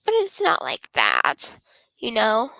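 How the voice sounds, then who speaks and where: A woman voicing a bubbly, earnest teenage schoolgirl in a visual novel, animated and teasing. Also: a little high, slow, creaky, dry recording, exaggerated voice, rough recording.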